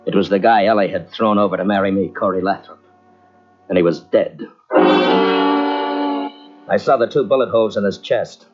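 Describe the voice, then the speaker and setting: A man's voice: lowish voice, big pitch swings, moderate pace, pauses often. An actor playing a young male engineer, newly married, narrating a murder mystery in 1940s radio style. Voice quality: urgent first-person narration swinging to shouted calls. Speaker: lead actor narrating his part. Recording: dull lo-fi old radio tape with music.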